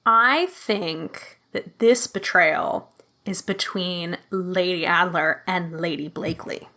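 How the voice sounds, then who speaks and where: A woman playing a solo tabletop game as guest, expressive and playful, laughing often. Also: on the low side, takes it slowly. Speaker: player, guest. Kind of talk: casual play and improvised storytelling. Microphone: remote call with headphones.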